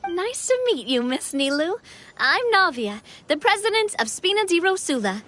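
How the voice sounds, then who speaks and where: A woman's voice: extremely high pitch, big pitch swings, moderate pace, bright, forceful tone, full character voice. A woman voicing a confident, optimistic young female leader with a breezy, self-assured manner in an anime video game. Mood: friendly. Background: video game music.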